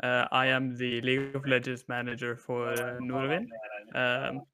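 A man's voice: mid-range voice, monotone, normal speed, pushed and bright, a little hiss or hum. A confident, boastful man from Norway chatting casually as an esports team manager on a panel. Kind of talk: casual chat.

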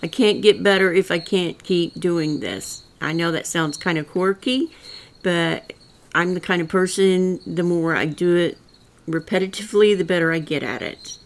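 A woman's voice: fairly low pitch, normal speed. An older woman with a rural Missouri accent, hosting her own podcast in a folksy, rambling, earnest monologue. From rural Southwest Missouri. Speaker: podcast host. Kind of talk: solo political commentary.

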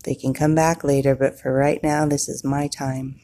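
A woman leading a guided meditation in a soothing manner, with long pauses and a husky, breathy voice. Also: extremely low pitch, level tone, warm tone, slight background noise.

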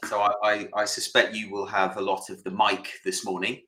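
A man co-hosting a podcast in a relaxed, chatty way, with frequent "uh"s. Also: fairly low pitch, normal speed, echoey room, rough recording. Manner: relaxed, lots of fillers. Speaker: podcast co-host. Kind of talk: casual podcast chat.

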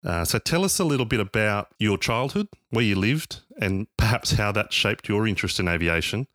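Low-voiced male podcast host. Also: lively intonation, normal speed, fairly bright tone, no room sound, clean studio sound. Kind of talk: scripted intro, then casual interview chat.

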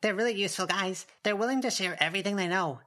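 A man's voice: high-pitched, quick pace, sharp tone, voice pushed up. A man voicing a small, chipper, teasing creature girl in an audiobook. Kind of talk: audiobook character dialogue.

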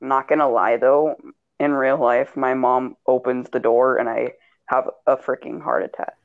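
A man chatting loosely on a podcast while he plays a game. His talk comes in quick, rambling bursts full of jokes. His dull, lo-fi mic sits close, with a dry sound and no room behind it. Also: mid-range voice, level tone, creaky, no room sound. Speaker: podcast co-host. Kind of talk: casual banter while gaming.

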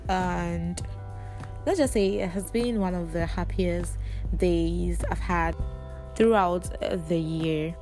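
A woman, hosting a casual solo podcast in a chatty, confiding way, her voice raspy from a cold. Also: fairly low pitch, fairly even intonation, takes it slowly, darkish tone, faint music behind. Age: 20s. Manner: chatty, confiding, laughs often. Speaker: podcast host.

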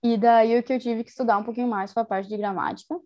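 A woman's voice: fairly high pitch, steady tone, quick pace, muffled recording. Chatty young woman, casual interview. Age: young adult. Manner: chatty, enthusiastic, many fillers like "assim" and "né". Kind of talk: casual conversation.

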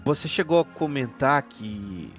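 A man playing an earnest, uneasy detective in a horror roleplaying game, with formal, deliberate phrasing. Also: medium pitch, very animated, soft background music. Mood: hesitant, curious.

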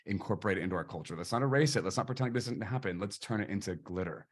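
A man's voice: fairly low pitch, expressive, racing, breathy edge. Earnest, impassioned man hosting. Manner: earnest, impassioned, preacher-like phrasing. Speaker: podcast host. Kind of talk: casual interview chat.

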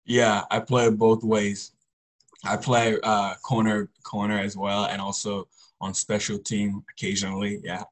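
A teenage young man from Congo, a high school football player, giving casual answers as an interview guest. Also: monotone, measured pace. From Congo, later the US Pacific Northwest.

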